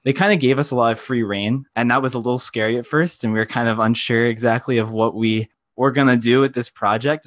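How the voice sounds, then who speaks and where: A man's voice: medium pitch, fairly bright tone, dry recording. A young man from Pennsylvania, a college student intern, talking casually with lots of hedging phrases. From Pennsylvania, United States. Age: young adult.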